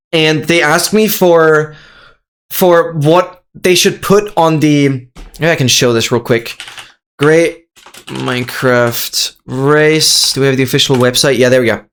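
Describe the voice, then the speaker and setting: A young adult streamer from Austria, a native German speaker with an Austrian accent, chatting excitedly with viewers on a live stream and repeating phrases. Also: medium pitch.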